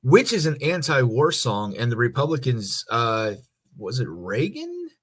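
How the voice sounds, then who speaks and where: An American man co-hosting a podcast, explaining things in a casual, loose and halting way. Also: mid-range voice, wildly swooping pitch, husky. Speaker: podcast co-host. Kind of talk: casual chat.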